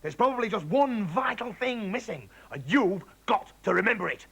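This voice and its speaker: An eager, pushy farmer father, put on by a man reading a storybook, voice lifted and bouncing as he blusters and urges. It is bright and gravelly. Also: high voice, expressive, normal speed, pitched above the performer's own voice, heavily acted. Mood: excited, pushy. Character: excitable, scheming farmer father.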